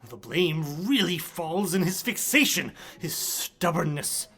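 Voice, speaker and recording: Wary gentleman friend, high-pitched, lively intonation, formal, wordy, radio drama. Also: slow, slightly rough, full character voice. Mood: troubled. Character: loyal, educated gentleman, the scientist's wary best friend. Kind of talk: theatrical radio drama acting.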